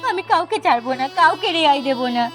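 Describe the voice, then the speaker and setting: A woman's voice: sits high, animated, quick pace, fairly bright tone, faint music behind. A woman playing a bitter, grieving village mother in a cartoon fairy tale, with melodramatic, wailing delivery. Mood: tearful outrage.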